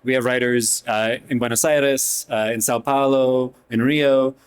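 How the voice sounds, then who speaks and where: A man speaking as a newspaper editor on a panel, relaxed and conversational. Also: medium pitch, moderate pace, clear, resonant tone. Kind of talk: panel discussion. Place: event hall panel.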